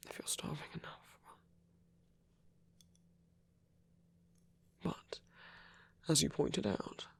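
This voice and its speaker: A man playing a gentle vampire priest in an intimate ASMR roleplay, soft and breathy, speaking in hesitant, measured, genteel phrases. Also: on the high side, lively intonation, full character voice. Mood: tender, confessional. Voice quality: breathy, whispery. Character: gentle, soft-spoken vampire priest, male, pacifist, tender.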